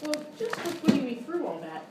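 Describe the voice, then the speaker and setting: Sceptical female sidekick acted by a woman, sketch comedy, sarcastic, indignant, animated pitch, heavy echo, far from the mic. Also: fairly quick. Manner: sarcastic, exclamatory. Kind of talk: live radio sketch comedy banter. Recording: echoey live stage, distant mic, noisy.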